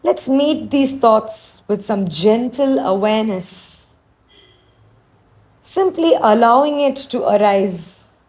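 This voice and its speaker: A woman teacher leading a guided meditation, speaking in a soothing, unhurried, gently instructing way. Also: mid-range voice, low-quality recording.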